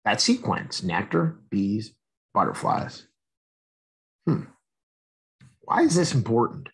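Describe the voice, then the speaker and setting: A man's voice: fairly low pitch, wildly swooping pitch, slow, creaky, husky. A man reading a children's picture book aloud expressively, addressing young listeners with questions and asides. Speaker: read-aloud storyteller.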